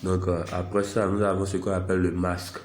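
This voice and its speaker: Man with a head cold, low and monotone, guiding viewers step by step; faint noise floor. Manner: unhurried, self-deprecating, narrates each click. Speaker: software tutorial presenter. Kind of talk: screen-recorded app tutorial.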